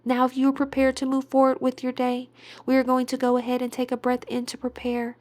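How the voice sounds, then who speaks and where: Soft higher-pitched woman guiding meditation. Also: monotone, a little fast. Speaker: guided meditation instructor. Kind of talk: guided meditation, scripted narration.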